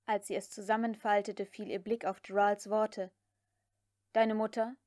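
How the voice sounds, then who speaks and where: A woman's voice: mid-range voice, little pitch movement, normal speed, darkish tone, no room sound, studio quality. A woman reading a novel aloud as scripted audiobook narration.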